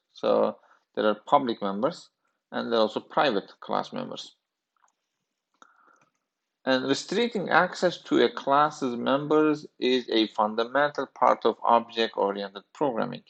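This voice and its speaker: Brief: man, 30s, gravelly lowish voice, halting instructor talking through code on a dull lo-fi recording. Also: fairly bright tone. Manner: halting, thinking aloud with fillers. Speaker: programming instructor. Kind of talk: screencast coding lesson.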